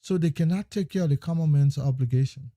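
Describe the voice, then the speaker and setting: A man giving an emphatic political monologue, repeating phrases and swinging between loud and hushed lines. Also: a little high, fast, dry recording. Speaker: political commentator.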